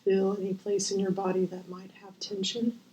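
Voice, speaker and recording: A woman guiding a visualization as host, gentle and unhurried with long pauses, her voice breathy and often near a whisper. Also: mid-range voice, monotone, muffled recording, a little hiss or hum. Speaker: guided meditation host. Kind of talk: guided visualization, meditation.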